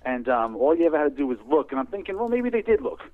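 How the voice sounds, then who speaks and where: A man's voice: a little high, very animated, fast talker, dry recording. A man talking hesitantly, full of ums and uhs, as a phone-in guest. His voice comes through a dry, tinny phone line. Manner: hesitant, many fillers. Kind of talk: casual radio interview.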